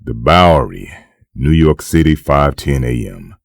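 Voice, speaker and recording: A man narrating a dark comic book in a grave, scripted, dramatic style. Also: extremely low pitch, lively intonation, a little slow, vocal fry, no room sound, clean studio sound, full character voice. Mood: grave, measured.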